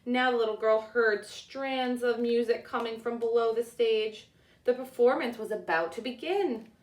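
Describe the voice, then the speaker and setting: A woman narrating a children's storybook as a teacher reading aloud, with deliberate, expressive delivery. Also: a little high, far from the mic. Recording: echoey room, away from the mic.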